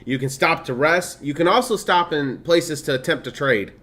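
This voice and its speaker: Male streamer, 30s, blended Midwestern/Southern/Californian accent, slightly high pitch, chatty and laughing. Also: moderate pace, a bit bright. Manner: chatty, laughs often, reads game text aloud. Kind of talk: casual gameplay stream commentary.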